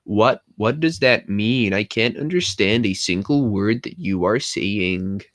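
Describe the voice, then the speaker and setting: A man's voice: lowish voice, lively intonation, measured pace, a bit bright, no room sound. A man mocking a baffled American guy. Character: baffled American man who cannot follow a Scottish accent.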